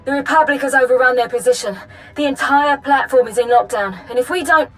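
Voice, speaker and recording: An anxious female officer from a sci-fi game, reporting urgently. Her voice is fairly high and tense, with music behind it. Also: a little fast, a bit bright, rough recording. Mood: urgent, alarmed. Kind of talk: video game cutscene acting.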